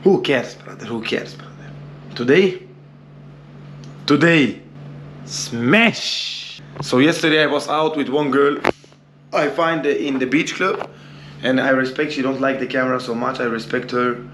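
A man's voice: medium pitch, a little slow, long pauses, fairly bright tone, slight background noise. A young man from Brazil with a Brazilian accent, vlogging loosely and boastfully in broken English to a camera held at arm's length in a small hotel room. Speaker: travel vlogger. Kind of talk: casual vlog talk to camera.

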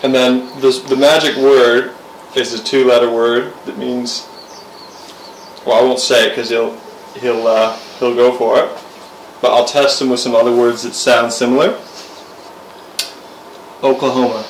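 A young man ambles through a home cooking demo, full of "uh"s and easy asides. His voice is picked up from a camera across the kitchen, so it sounds roomy and a little distant. Soft clatter of pans sits underneath. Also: medium pitch, measured pace, long pauses, slight background noise. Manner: relaxed, rambling, lots of fillers. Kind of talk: casual cooking demonstration. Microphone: camera mic from across the room.

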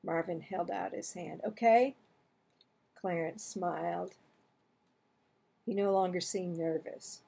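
A woman's voice: fairly low pitch, level tone, takes it slowly, creaky, long pauses, warm tone, slightly rough. A woman in her sixties reading a children's book aloud, expressively acting out the dialogue and action. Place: small home room, indoors.

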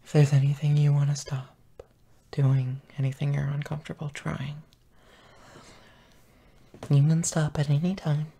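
A man playing a tender, possessive, gently dominant boyfriend in an intimate audio roleplay, often whispering in a soft, breathy murmur. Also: on the high side, slight background noise. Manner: slow, soft, murmuring with pauses.

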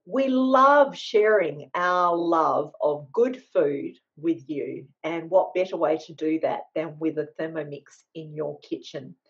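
A woman's voice: medium pitch, darkish tone. Middle-aged woman, slightly dull call audio. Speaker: sales manager opening an online cooking class. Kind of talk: welcoming webinar introduction. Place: small home office on a video call.

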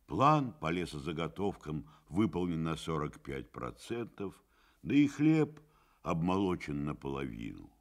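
A man narrating a radio drama in a measured, expressive literary storytelling manner. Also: lowish voice.

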